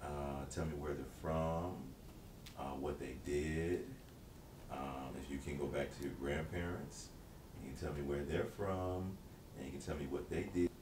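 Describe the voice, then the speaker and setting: A man's voice: rumbling low, steady tone, a little slow. A man interviewing, upbeat and laughing, with lots of hesitant fillers. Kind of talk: casual interview. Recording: distant, echoey, noisy room.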